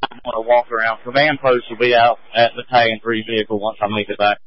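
A man's voice: medium pitch, level tone, fast, dry recording. A man speaking as a fire incident commander over a two-way radio at a fire scene. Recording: muffled two-way radio transmission.

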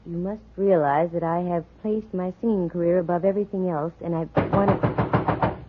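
An actress playing a dry, wisecracking, brisk female private eye in a 1940s radio detective drama. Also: fairly low pitch, steady tone, very noisy, lightly acted. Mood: musing. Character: wry, brisk female private eye, slangy and coolly scheming. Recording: muffled, phone-like vintage radio recording.

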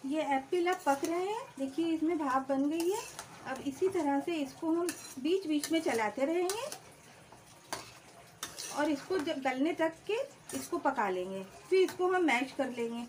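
A woman's voice: sits high, animated, a little slow, darkish tone, slight background noise, low-quality recording. A woman hosting a cooking channel, explaining each step of a home recipe plainly and calling her viewers friends. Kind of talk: step-by-step cooking demonstration voice-over. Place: home kitchen.

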